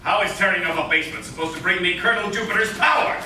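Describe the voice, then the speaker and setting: A man's voice: sits high, very fast, bright, forceful tone. A man playing a whining, discouraged criminal henchman in a live radio play. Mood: exasperated. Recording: echoey hall from far off, noisy.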